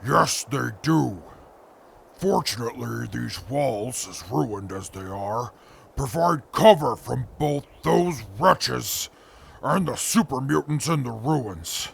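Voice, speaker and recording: An actor playing a grim, calculating wasteland veteran with a gravelly, husky voice and measured, formal speech. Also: male voice, medium pitch, expressive, slight background noise, heavily acted. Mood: calm disdain. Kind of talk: post-apocalyptic audio drama.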